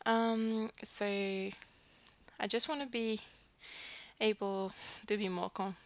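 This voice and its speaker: A young woman from New Caledonia talks to her camera in a casual, rambling way, her colouring her speech. Her voice has a gravelly edge, picked up close on a headset mic in a dry, dead room. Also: medium pitch, fairly even intonation, takes it slowly, no room sound. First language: French. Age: 20s. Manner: chatty, frequent fillers and restarts. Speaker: vlogger. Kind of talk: casual self-introduction to camera.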